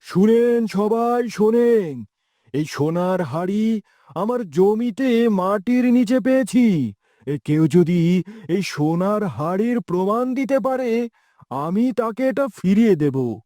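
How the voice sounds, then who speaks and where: An honest, selfless farmer acted by a man in a cartoon fable. The voice is high for a man and soft-edged, with slow, plain, earnest delivery. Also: expressive, dry recording, studio quality. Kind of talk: moral cartoon dialogue.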